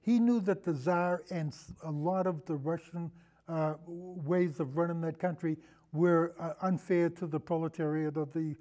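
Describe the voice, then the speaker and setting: An older man with a notably high-pitched voice and a mellow, relaxed tone. He talks as a guest in a casual film discussion, wandering and halting as he goes. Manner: rambling, halting, trails into et cetera. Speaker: podcast guest, longtime radio show host.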